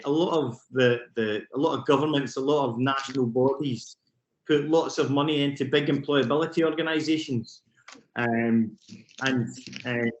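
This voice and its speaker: A middle-aged Glaswegian man gives a talk over a video call, quick and excitable and full of ums and restarts. His voice sits at an average pitch for a man. The call audio sounds roomy. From Scotland. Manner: fast and excitable, with many fillers and restarts. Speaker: youth work programme director. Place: remote, away from the venue.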